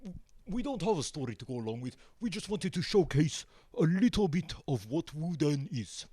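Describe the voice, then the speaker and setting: A man answering casually as a musician being interviewed, hesitant and pausing often. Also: fairly high pitch, very animated, dark tone, husky, dry recording. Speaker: band member, interview guest.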